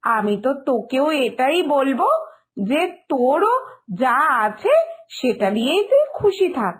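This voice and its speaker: A woman voicing a sensible, patient elder sister-in-law who gently chides, in an animated moral story. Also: sits high, measured pace. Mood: resigned, chiding. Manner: chiding, patient, big-sisterly.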